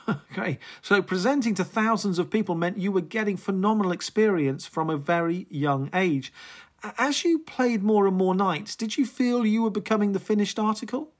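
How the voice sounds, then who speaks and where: Interviewer, mid-pitch, measured and prepared delivery. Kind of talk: interview questions.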